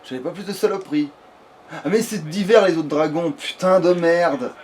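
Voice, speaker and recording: A man's voice: on the high side, a little fast, a little mellow, slight background noise. A man giving casual, rambling let's play commentary, often swearing coarsely. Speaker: video game streamer.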